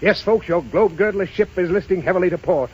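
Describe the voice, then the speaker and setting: An actor playing a brash, self-absorbed globe-trotting reporter and husband, with breezy declamation in a 1930s radio drama style. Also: male voice, high voice, a little fast, slightly rough. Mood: breezy, showy. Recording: muffled, hissy 1930s radio broadcast.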